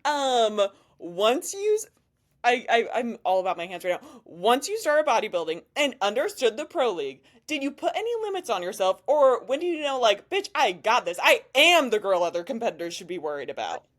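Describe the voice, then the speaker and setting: A woman from Missouri hosting a casual interview over a video call, sassy and playful, with a deep voice by her own account. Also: big pitch swings, a little fast. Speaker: host, interviewer.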